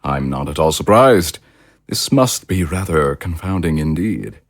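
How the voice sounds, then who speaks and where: An actor playing a suave, self-satisfied political leader in an audio drama, with pompous, elaborately polite diction. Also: male voice, very deep voice, lively intonation, unhurried, full character voice. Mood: smoothly apologetic. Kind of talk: theatrical audio drama acting.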